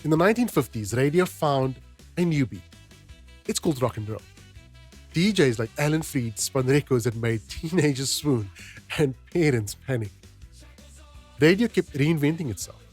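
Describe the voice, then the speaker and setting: Guy narrating a podcast like a dramatic storyteller, medium pitch, music under him. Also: lively intonation, moderate pace, pauses often, husky. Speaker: podcast host.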